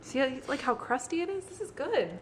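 Young woman, bubbly and chatty, slightly high voice, filming herself at home on a handheld camera, giggles often. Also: animated, fairly quick, roomy sound, slight background noise. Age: young adult. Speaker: vlog co-host. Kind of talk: casual chat. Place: home kitchen and living room, indoors.

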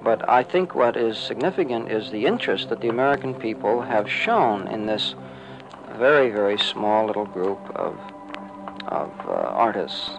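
A man giving thoughtful interview answers in a measured, hesitant, intellectual manner. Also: fairly low pitch, big pitch swings, gravelly, over music, low-quality recording. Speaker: newspaper publisher and psychologist.

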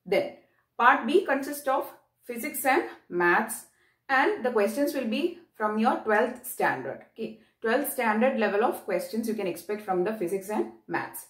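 A woman's voice: fairly high pitch, animated, measured pace, fairly bright tone. A young woman with an Indian accent gives an instructional explanation to camera, repeating key points and often checking in with "okay". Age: young adult. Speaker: presenter of an exam guidance channel. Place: small bare-walled room indoors. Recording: roomy echo, distant mic.

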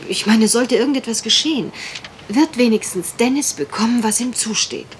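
A woman's voice: fairly high pitch, animated, normal speed, slight background noise, close to an everyday voice. A woman acting a troubled, polite young heiress in a German radio crime drama, confiding and a little hesitant.

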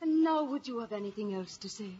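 An actress playing a devoted young Irish village lass in an old-time radio drama. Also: female voice, a little high, animated, quick pace, mellow, breathy edge. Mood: coy. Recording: dull old radio recording.

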